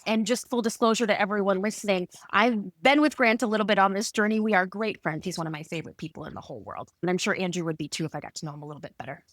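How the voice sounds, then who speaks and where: A woman hosting a podcast in casual chat, bubbly and quick to laugh. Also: mid-range voice, no room sound, clean studio sound. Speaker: podcast host.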